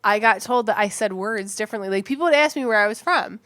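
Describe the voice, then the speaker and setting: A woman's voice: mid-range voice, quick pace, fairly bright tone, dry recording. A woman co-hosting a casual podcast, chatty and giggly, full of "like" and restarts. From New Jersey, USA. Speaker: podcast co-host.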